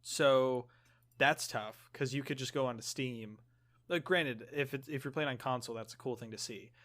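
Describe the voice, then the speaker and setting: A man's voice: medium pitch, normal speed, fairly bright tone. A man co-hosting a gaming podcast, chatting casually with a joking, teasing manner. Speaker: podcast co-host.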